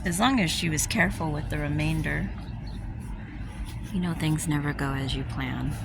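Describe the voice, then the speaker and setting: A woman's voice: low voice, normal speed, creaky, background noise. A woman reading a romance novel aloud in an informal, amateur storytelling way, dropping in casual personal asides. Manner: informal, interrupts own reading to comment. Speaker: amateur audiobook reader and vlogger.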